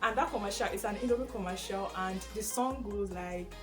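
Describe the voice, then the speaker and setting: A young Nigerian woman with an Igbo first language, chatting casually through a question tag video in a lively, rambling way. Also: medium pitch, brisk, music in the background. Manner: chatty and animated, with frequent fillers and laughs. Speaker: vlogger.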